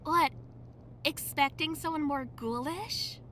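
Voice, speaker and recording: A man's voice: very high voice, takes it slowly, bright, forceful tone, slight background noise, pitched above the performer's own voice, exaggerated voice. A man voicing a plucky, cheerful young woman in a friendly, teasing manner for a visual novel game.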